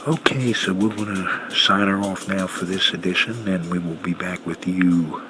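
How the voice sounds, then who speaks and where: A man hosting a radio show, talking casually in a halting, low-key, unscripted way. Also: steady tone. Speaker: host of an old-time radio show. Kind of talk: casual unscripted sign-off talk. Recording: clearly noisy home-made recording.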